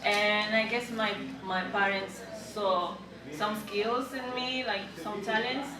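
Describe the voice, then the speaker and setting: A woman's voice: mid-range voice, fairly even intonation, slow pace. A woman from Argentina with an Argentine accent, a native Spanish speaker, giving casual answers as an interview guest. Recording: echoey, distant mic, background chatter.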